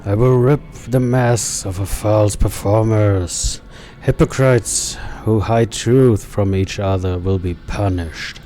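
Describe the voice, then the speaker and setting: A man's voice: on the low side, slow, dark tone, soft background music, pitched below the performer's own voice. A man voicing a masked, zealous killer from a game, preaching divine judgement in a solemn, declamatory manner. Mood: accusing, righteous. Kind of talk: dramatic reading of game dialogue.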